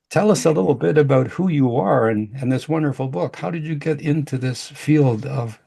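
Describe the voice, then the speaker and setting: A man's voice: medium pitch, creaky, mellow, breathy edge. A man in his sixties hosting an interview show, opening with a scripted intro and chatting in a folksy, joking way. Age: 60s. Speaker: host.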